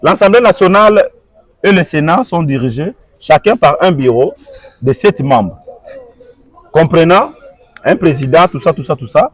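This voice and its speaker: A man's voice: a little high, expressive, normal speed, long pauses, breathy edge, dry recording. A man answering an interviewer, arguing his point with repeated rhetorical questions and switching between French and Lingala. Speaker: guest.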